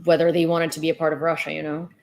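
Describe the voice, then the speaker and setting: A woman's voice: deep voice, little pitch movement, very fast, darkish tone, echoey room. A woman from Ukraine with a Ukrainian accent, giving casual livestream news commentary in an outspoken, emphatic way and repeating herself for stress. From Lviv, western Ukraine.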